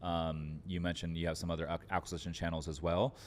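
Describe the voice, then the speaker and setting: A man's voice: low-pitched, flat delivery, quick pace, a little hiss or hum. A man giving conversational business advice as an entrepreneur guest on a podcast, informal and sprinkled with filler words. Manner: informal, frequent fillers like "like" and "literally".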